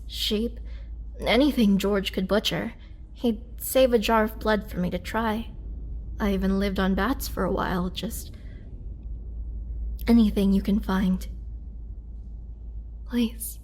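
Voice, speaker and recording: A woman playing a weakened, imprisoned vampire in a roleplay audio drama, gentle and polite, speaking hesitantly with soft, whispery breath and a pleading earnestness. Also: mid-range voice, steady tone, takes it slowly, long pauses. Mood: weak, pleading. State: weak, drained. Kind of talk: girlfriend roleplay audio drama, intimate acting.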